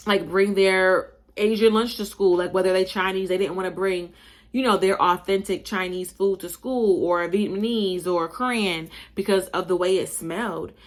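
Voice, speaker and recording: A young adult woman from Buffalo, New York, with a slightly Southern-tinged accent, hosting a casual opinion monologue in an animated, emphatic, chatty way and calling her listeners y'all. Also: fairly low pitch. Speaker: podcast host.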